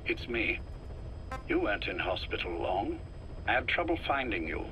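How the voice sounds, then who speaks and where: A man acting as a shadowy intelligence spymaster in a video game drama, speaking politely and with measured, quiet menace. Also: lowish voice, sing-song, over music. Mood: cool, businesslike. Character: shadowy male intelligence spymaster, cool, courteous, calculating. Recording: faint background hiss and noise.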